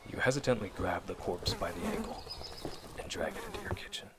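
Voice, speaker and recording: A man giving a dramatic, deliberate, suspenseful narration of a scripted horror story in the manner of old radio drama, addressing the listener directly. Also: low-pitched, lively intonation, noisy background.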